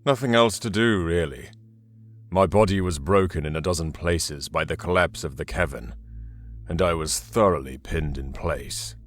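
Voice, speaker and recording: An ancient demon warlord with a deep, low voice. He is arrogant and coldly calculating, weighing each phrase with dry, sardonic deliberation. It is an audio drama monologue over faint music. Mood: pained, wry.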